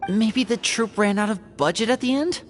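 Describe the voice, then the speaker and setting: A woman's voice: mid-range voice, normal speed, over loud music, natural voice. A woman voicing a level-headed young female adventurer in an anime game, composed and questioning. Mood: puzzled. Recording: long hall-like reverb.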